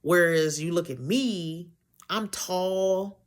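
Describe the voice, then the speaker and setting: Woman, deep voice, young adult, slow, rambling, self-correcting. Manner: rambling, loses her train of thought, self-correcting. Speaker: vlogger. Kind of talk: candid personal talk to camera.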